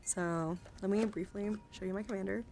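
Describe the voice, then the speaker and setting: A woman livestreaming ASMR in a soothing, gently encouraging way, soft-spoken and often slipping into whispers, close to the microphone. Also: mid-range voice, steady tone, brisk. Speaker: livestreamer hosting an ASMR card-showing stream. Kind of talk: ASMR, casual livestream chat. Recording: clearly audible background noise.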